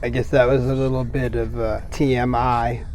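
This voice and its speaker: A man's voice: medium pitch, level tone, normal speed, a little mellow, slight background noise, lightly acted. A man playing a sick, stranded man who rambles anxiously and wryly through recorded messages in a horror audio drama. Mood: wry, weary. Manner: rambling, conversational, hesitant, wry. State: sick with a cough.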